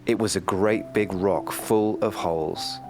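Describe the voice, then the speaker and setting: A man reading a children's bedtime story in a calm, gentle storytelling manner. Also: fairly low pitch, unhurried, over music.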